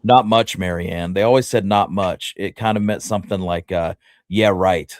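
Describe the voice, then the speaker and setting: A man's voice: low voice, fairly even intonation, moderate pace, no echo, studio quality. A man co-hosting a history talk show, telling anecdotes in an informal, rambling way. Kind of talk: casual chat with anecdotes.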